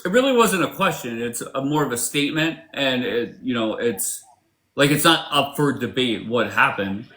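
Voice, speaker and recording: Blunt, bright-voiced male streamer. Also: medium pitch, animated, normal speed. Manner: blunt, profane, challenging. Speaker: livestream host. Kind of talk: casual live-stream debate chat.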